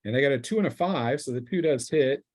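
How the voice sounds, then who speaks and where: A man narrating a tabletop fantasy game as game master, conversational and descriptive, often pausing mid-sentence as he improvises. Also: medium pitch, expressive, a little mellow.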